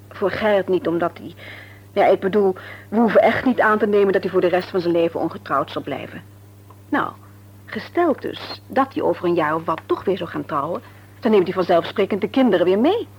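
An actress playing a sensible, frank and caring wife in a radio serial, reasoning earnestly and gently chiding. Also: female voice, medium pitch, lively intonation, a little fast, slightly rough, muffled recording. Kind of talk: Dutch radio serial drama acting. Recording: noisy old radio recording.

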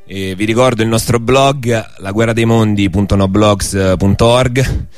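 A man's voice: on the low side, measured pace, smooth, dry recording. A man hosting a radio programme, narrating a scripted historical documentary. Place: radio studio. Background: music.

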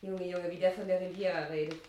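An actress playing a weary, complaining young governess in a 1960s German radio play, chatty, teasing and plaintive. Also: female voice, fairly low pitch, flat delivery, fast, mellow, natural voice. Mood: amused, mocking. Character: weary, complaining young governess visiting her adoptive aunt. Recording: noisy 1960s radio recording with clicks.

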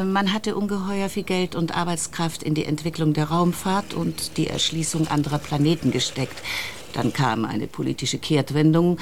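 A polite, probing woman in a radio drama. She has a very low voice and a careful, hesitant conversational manner, over faint background noise. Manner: hesitant, courteous. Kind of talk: German radio play acting.

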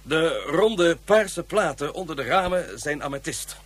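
A pompous, husky official. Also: male voice, on the high side, animated, unhurried. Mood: formal. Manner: formal, ceremonious. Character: pompous male government official. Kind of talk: radio play acting.

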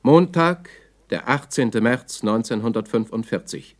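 Clipped, official male announcer, mid pitch. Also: animated, a little slow. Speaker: announcer of times, dates and bulletins. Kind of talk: 1960s documentary radio drama announcing.

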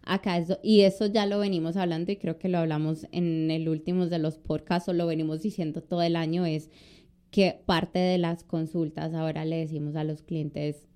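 Relaxed low-voiced woman, smooth tone. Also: normal speed. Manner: hesitant, many restarts and fillers. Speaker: immigration lawyer and podcast co-host. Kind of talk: casual conversational chat.

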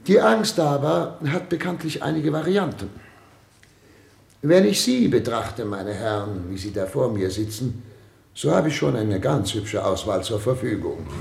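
An actor playing a pompous, fretful head of state in a German radio play, speaking in an oratorical, theatrical way. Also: male voice, medium pitch, animated, darkish tone. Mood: needling, commanding. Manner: pompous, oratorical, theatrical throat-clearing and pauses. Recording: roomy, reverberant radio studio sound.

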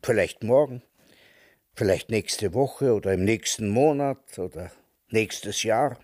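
An actor playing a melancholy, sardonic old intellectual in a radio drama, speaking in a deliberate, ironic and literate way. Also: male voice, lowish voice, darkish tone, no echo, clean studio sound. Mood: melancholy, wry. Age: older.

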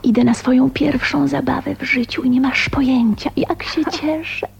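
A woman's voice: high voice, fairly even intonation, normal speed, vocal fry, dull audio. An actress playing a shy, sheltered young woman in a radio drama, earnest and exclamatory. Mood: giddy, excited. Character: shy, sheltered 29-year-old woman newly tasting freedom.

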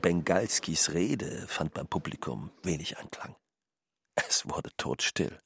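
A husky man giving expressive, theatrical narration in a radio drama, stretching out his phrases. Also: low voice, takes it slowly.

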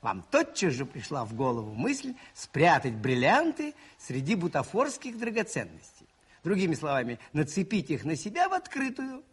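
Husky priest sleuth in an old soviet radio play, teasing and ironic, voice swings up and down. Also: male voice, a little high, moderate pace, full character voice. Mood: wry, admiring. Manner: musing, ironic, expressive and conversational.

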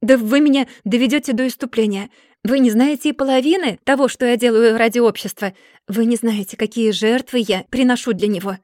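A woman voicing a distracted, gloomy rich gentleman who mumbles and then rants in a storybook reading. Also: mid-range voice, moderate pace, no room sound, clean studio sound, natural voice. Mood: furious ranting. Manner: absent-minded, mumbling, then bursting into furious ranting.

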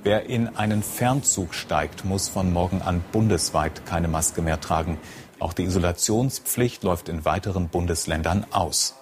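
Low-voiced male news anchor. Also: moderate pace, a little hiss or hum. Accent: standard German. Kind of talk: formal scripted news bulletin.

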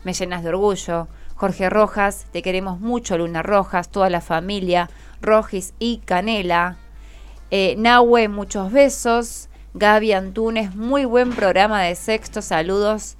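Low-pitched Argentine woman radio host. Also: fairly even intonation, measured pace, clear, resonant tone. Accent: Rioplatense Argentine. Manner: encouraging, prompts with short questions and affirmations. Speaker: radio host interviewing schoolchildren. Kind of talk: friendly conversational radio interview. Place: radio studio.

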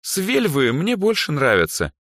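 A male reader puts on a sardonic young woman in a fantasy story. She talks in a raised pitch with a grumbling, ironic edge, sounding dry and unimpressed with her friend. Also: wildly swooping pitch, a little slow, fairly bright tone, no echo, heavily acted. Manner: gruff, snorting, ironic.

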